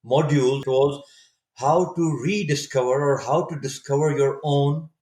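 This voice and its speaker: A man in his fifties from Saudi Arabia, a native Urdu speaker, telling his story as a podcast guest, thoughtful and often pausing to restart his words. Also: medium pitch, fairly even intonation, darkish tone. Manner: reflective, searching for words with frequent restarts. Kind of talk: casual interview storytelling. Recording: echoey room sound.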